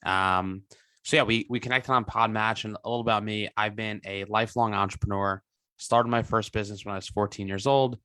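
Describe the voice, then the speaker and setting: Guest, 20s man, lowish voice, dry close sound, earnest and halting. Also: fairly even intonation, pushed and bright, clear, resonant tone, dry recording. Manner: earnest, halting with many restarts and fillers. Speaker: guest entrepreneur. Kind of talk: interview.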